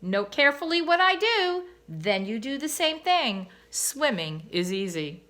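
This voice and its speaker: A woman's voice: sing-song, a little slow, bright, forceful tone, full character voice. A woman voicing a caring mother swan who coaxes her babies, in a storybook. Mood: coaxing. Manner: high, coaxing, instructive.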